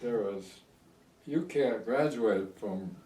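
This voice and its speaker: A man in his eighties with an American accent, reminiscing in a halting, rambling way in a casual interview. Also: on the low side, warm tone. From United States. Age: 80s. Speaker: oral history interviewee. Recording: echoey room, distant microphone.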